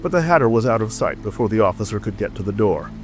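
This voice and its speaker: A man's voice: lowish voice, animated, fast, darkish tone. A man reading a scripted storybook narration as a dramatic audiobook narrator. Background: music.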